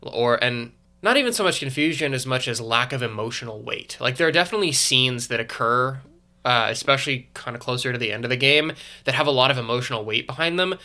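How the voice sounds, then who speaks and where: Man, congested, stuffy, bright sharp tone, podcast guest, measured, casual talk. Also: medium pitch. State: congested from allergies.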